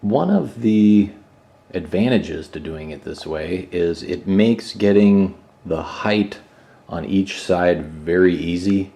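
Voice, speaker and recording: Low-voiced man musing in a roomy garage. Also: takes it slowly, gravelly. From Minnesota, USA. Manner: relaxed, musing, thinks aloud with fillers. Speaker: motorcycle builder and channel host. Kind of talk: casual workshop vlog. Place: home garage workshop. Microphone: camera mic, moving around the room. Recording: roomy garage, sometimes far from mic.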